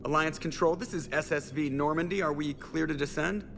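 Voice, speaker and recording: Higher-voiced starship pilot. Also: male voice, a little fast, creaky, long reverb tail, noisy background. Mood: businesslike. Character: alert, easygoing male starship pilot. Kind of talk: video game voice acting.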